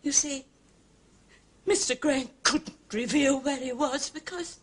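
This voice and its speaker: An actress playing an anxious, genteel widow in a period drama, halting and pleading as she confides. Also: high voice. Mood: tearful, confiding. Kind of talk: British television period drama acting.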